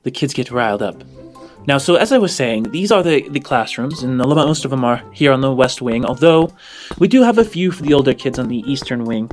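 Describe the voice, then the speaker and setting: A man's voice: medium pitch, animated, soft background music, lightly acted. Man playing a hesitant, evasive orphanage administrator woman. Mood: reluctant, firm. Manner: halting, hesitant, hedging. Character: flustered, evasive female orphanage administrator giving a tour.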